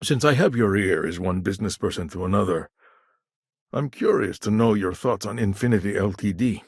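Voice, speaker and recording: An actor playing a shrewd, courteous business negotiator in a video game, with a gravelly voice and a wry, conversational manner. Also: male voice, lowish voice, animated, fairly quick, no room sound, clean studio sound. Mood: wry, charming. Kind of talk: video game dialogue lines.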